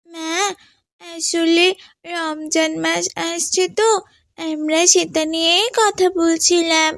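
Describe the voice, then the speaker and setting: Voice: very high voice, level tone, slow pace, a bit bright, dry recording, clean studio sound, full character voice. A performer voicing a poor, meek little village girl in a cartoon, with a plaintive, pleading, childlike way of talking. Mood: wistful. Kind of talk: Islamic cartoon dialogue.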